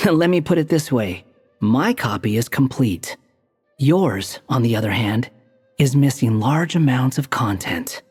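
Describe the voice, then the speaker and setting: A man voicing an aloof, sharp-tongued young wanderer in a video game, dry and curt. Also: mid-range voice, animated, measured pace, slightly rough. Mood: smug. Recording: echoey game audio with music.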